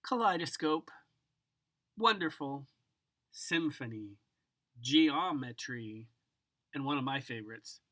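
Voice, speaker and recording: Low-voiced woman reading a storybook, very animated. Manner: savors single words with drawn-out, dramatic delivery and occasional whispers. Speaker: storybook reader. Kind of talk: expressive children's picture book read-aloud.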